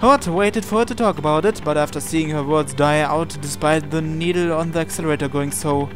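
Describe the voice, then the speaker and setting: A man's voice: fairly high pitch, brisk, music in the background. A man reading visual novel narration aloud, sometimes stumbling over and repeating words as he goes. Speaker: video game player reading aloud.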